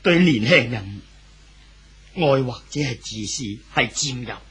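An actor playing an anxious, clinging older husband in a 1970s radio drama, pleading and insistent, with a Hong Kong Cantonese accent. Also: male voice, medium pitch, expressive, takes it slowly, fairly bright tone, breathy edge, full character voice. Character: anxious older husband clinging desperately to his unfaithful wife.

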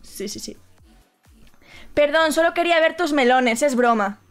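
A young woman from Madrid with a Madrid Spanish accent, streaming from home and reading chat messages aloud with animated, sarcastic commentary. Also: high-pitched, measured pace, faint music behind. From Spain. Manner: animated, sarcastic, often shrieks and squeals.

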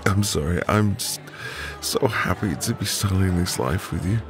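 A man playing a tender, devoted newlywed husband in a soft, intimate, romantic ASMR roleplay with lingering pauses. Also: low-pitched, natural voice. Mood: tender, happy. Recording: music behind the voice. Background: music.

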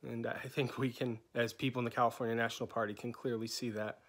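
A man in his thirties from New England introducing himself as a candidate, talking loosely with many pauses and restarts, filmed on a phone. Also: mid-range voice, little pitch movement. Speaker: candidate for chapter coordinator. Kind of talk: unscripted campaign introduction. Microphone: phone held at arm's length.

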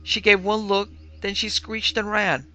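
A man's voice: high-pitched, flat delivery, unhurried, bright, forceful tone, voice pushed up, exaggerated voice, poor audio. A man voicing a shaken young son in an audiobook who tries to sound casual and unconcerned. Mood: uneasy, excited. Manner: shaky, trying to sound casual. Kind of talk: audiobook character acting.

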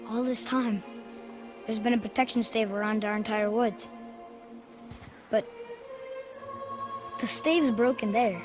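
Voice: medium pitch, takes it slowly, long pauses, music in the background, lightly acted. A performer playing an earnest, inquisitive young boy, a warrior's son, in a cinematic video game. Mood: curious, eager. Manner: inquisitive, earnest, plain short questions.

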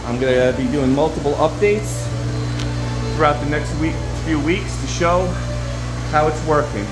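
A man's voice: fairly high pitch, unhurried, music in the background, poor audio. A man giving a casual, enthusiastic walkthrough of the water treatment room at his laundromat, filmed on a handheld phone. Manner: informal, enthusiastic, rambling explanations. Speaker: laundromat owner giving a tour. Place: indoor water treatment room with pumps and tanks. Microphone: handheld phone camera.

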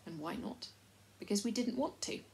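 A woman's voice: mid-range voice, expressive, moderate pace, creaky, darkish tone. Woman acting a folksy, long-winded invisible chief. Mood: rambling, confiding. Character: rambling, self-important invisible male chief of servants.

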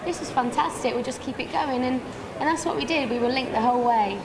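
A woman's voice: sits high, noisy background. Hesitant British woman contestant. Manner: halting, many restarts. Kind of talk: interview answers.

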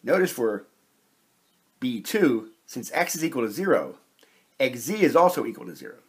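Male lecturer, slightly rough husky tone, medium pitch, methodical step-by-step lesson delivery. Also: very animated, normal speed. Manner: methodical, explanatory. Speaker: chemistry lecturer. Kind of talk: step-by-step whiteboard lesson narration.

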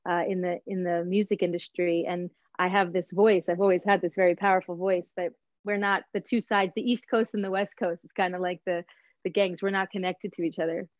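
A middle-aged woman telling her story in an animated interview over a video call, talking with her hands and often adding "right?". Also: lowish voice, a little fast, no echo, dull audio. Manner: expressive, gestures while talking, frequent "right?". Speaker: guest, voice teacher and singer. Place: home room with bookshelves.

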